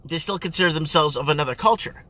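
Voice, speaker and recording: A middle-aged man, rambling casually to viewers in an animated way and repeating his questions emphatically. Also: on the high side, quick pace. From Ontario, Canada. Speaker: vlogger. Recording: engine and road noise in car.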